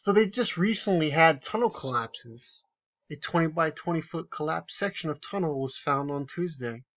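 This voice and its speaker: A man's voice: a little high, lively intonation, moderate pace, fairly bright tone, highs cut off. A man giving casual, sarcastic commentary over screenshots, with incredulous, emphatic asides. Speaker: video commentator.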